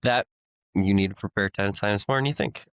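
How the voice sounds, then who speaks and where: A man in a casual talk giving short, deadpan answers. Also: fairly low pitch, normal speed, smooth, no room sound. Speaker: podcast co-host. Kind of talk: casual chat.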